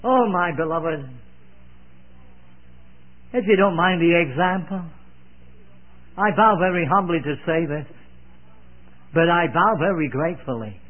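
Elderly preacher, high-pitched and sweeping. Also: male voice, expressive, a little slow, pauses often. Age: 80s. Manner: earnest, emphatic, repeating phrases for weight; solemn, old-fashioned devotional phrasing. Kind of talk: sermon, expounding scripture. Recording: narrow, phone-like old sermon recording.

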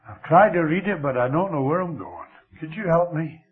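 An older man with a Scottish accent preaching a sermon, slow and expressive, letting his voice fall very quiet at the ends of phrases. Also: on the high side, slightly rough. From Scotland. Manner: slow, lively delivery with dramatic drops to near whisper. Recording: thin phone-like radio broadcast.

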